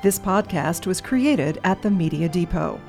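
A woman's voice: on the low side, expressive, a little slow, darkish tone, soft background music. Woman reading a podcast outro. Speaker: announcer. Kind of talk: scripted closing announcement.

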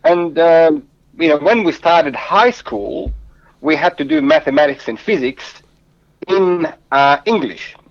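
A man's voice: fairly high pitch, lively intonation, a little slow, no echo, dull audio. A man whose first language is Arabic and who grew up in Lebanon, answering interview questions in English with earnest, heartfelt storytelling and frequent restarts. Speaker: guest.

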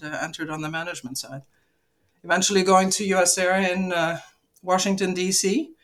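A woman's voice: on the low side, a bit bright. Woman in her fifties from Luxembourg; upbeat, chatty guest telling her story. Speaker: podcast guest, aviation executive. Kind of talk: casual interview conversation.

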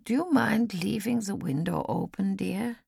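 A woman voicing a frail, gentle, wistful old lady in an audiobook, speaking slowly and very politely. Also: mid-range voice, vocal fry, slightly rough, dry recording, studio quality, exaggerated voice. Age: older. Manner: slow, deliberate, polite. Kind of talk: audiobook character acting.

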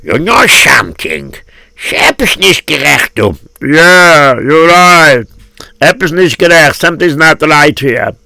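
A man's voice: fairly high pitch, sing-song, slow pace, creaky, pushed and bright, dry recording, pitched above the performer's own voice, full character voice. A man playing a suspicious, gossiping synagogue official in a told tale, talking in an emphatic, conspiratorial way.

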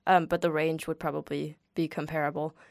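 A woman from Denmark, a native Danish speaker, chats easily in a fairly low voice. She fills her talk with little fillers and laughs often. The recording is close and dry. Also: flat delivery, a little fast, creaky, dry recording. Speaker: interview guest. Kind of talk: casual interview chat.

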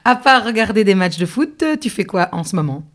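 A woman's voice: mid-range voice, expressive. Friendly woman hosting a podcast. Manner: friendly, laughs often, quick follow-up questions. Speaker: podcast host. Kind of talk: casual interview.

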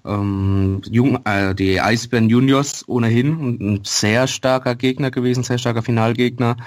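Low monotone podcast guy, lots of umms, dry sound. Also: moderate pace, no echo. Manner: hesitant, many fillers, matter-of-fact. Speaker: podcast co-host. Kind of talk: casual podcast chat.

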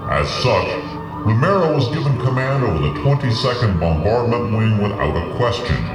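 Man acting haunted guilty conspirator, radio play narration, deep voice, solemn, brooding, dramatic swings in pitch. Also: very animated, moderate pace. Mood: grim, measured. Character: haunted, guilt-ridden male conspirator telling his downfall. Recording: roomy, distant voice under loud music. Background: loud music.

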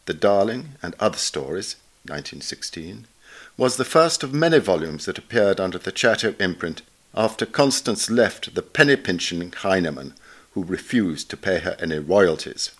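Low-pitched man reading a literary article. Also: animated, a bit bright. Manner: measured, formal, reading punctuation and dates aloud. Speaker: reader of a recorded article.

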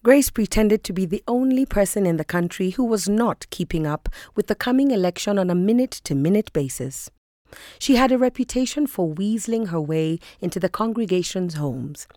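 A woman's voice: mid-range voice, lively intonation, moderate pace, mellow, no room sound, clean studio sound. A woman reading scripted literary narration for audio fiction.

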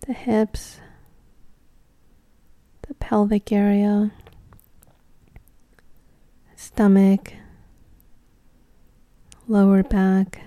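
A woman guides a meditation with a deeply mellow, relaxed voice. She speaks slowly and gently in short phrases, leaving long pauses between them. The sound is dry, with very little room around her. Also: no echo.

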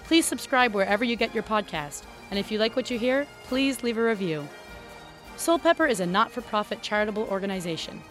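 A female announcer reads scripted credits for a podcast. Her voice is medium in pitch, and music plays behind her. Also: expressive, brisk, a bit bright.

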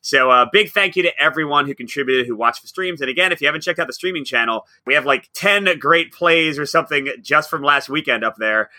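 A man's voice: fairly high pitch, brisk, few pauses, bright, forceful tone, no echo. A man co-hosting a casual podcast, upbeat and teasing, laughing often. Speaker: podcast co-host.

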